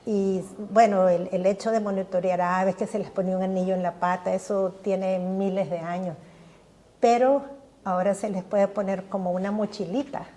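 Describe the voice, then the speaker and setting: A woman's voice: mid-range voice, steady tone, moderate pace, dark tone. An older woman speaking as a guest in a podcast interview, enthusiastic and often stumbling over repeated words. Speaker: guest scientist.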